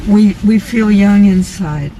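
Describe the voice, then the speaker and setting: A woman in her nineties chatting as a passenger in a car, soft-spoken and rambling, with pauses. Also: medium pitch, rough recording. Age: 90s. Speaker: interviewee, passenger. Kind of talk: casual chat. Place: inside a car on city streets. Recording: loud road noise from a car. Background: car and traffic noise.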